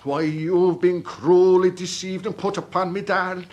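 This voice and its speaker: A man's voice: high voice, steady tone, measured pace, a little mellow, lo-fi sound. An actor playing a tipsy, blustering old Irish father and army captain in a radio drama, bombastic, maudlin and melodramatic. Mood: blustering outrage.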